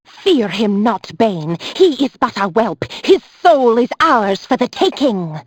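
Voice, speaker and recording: A scornful game sorceress with a husky voice. She draws her haughty lines out slowly, with wide theatrical swings in pitch. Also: big pitch swings, dry recording, heavily acted. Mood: cold contempt.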